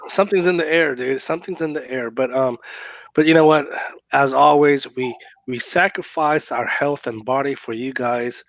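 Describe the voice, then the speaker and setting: A man co-hosting a podcast in casual chat, his voice raspy from a cough, teasing and joking. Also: mid-range voice, normal speed, a bit bright, dry recording, poor audio. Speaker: podcast co-host.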